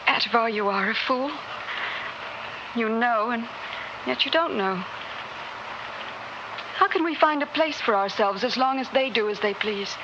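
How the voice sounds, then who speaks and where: A woman's voice: a little high, measured pace, pauses often, lightly acted. A woman playing a zealous young rebel of a future world, speaking with urgent, declamatory intensity in an old radio drama. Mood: bitter, persuasive. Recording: dull, noisy old radio drama recording.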